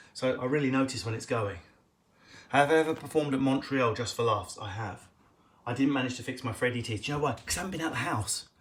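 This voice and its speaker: An Essex man doing improvised stand-up on a home live stream, answering viewers as he goes. He talks fast and cheekily, jumping from loud bursts to half-muttered asides, and his pitch sits in an ordinary middle range. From England. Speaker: comedian hosting a live Q&A stream. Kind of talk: casual improvised stand-up chat to camera. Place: at home, indoors.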